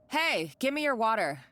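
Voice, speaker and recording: A woman's voice: high-pitched, bright, forceful tone, exaggerated voice. A woman voicing a scheming, spiteful villain in a video game, who talks in a taunting, condescending and bossy way. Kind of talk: game voice acting. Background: faint game music.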